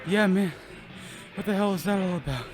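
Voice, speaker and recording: A man's voice: high voice, a little fast, over music, lightly acted. A man playing a small, wisecracking young man who needles his friends in an audio drama. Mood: earnest. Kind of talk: audio fiction dialogue.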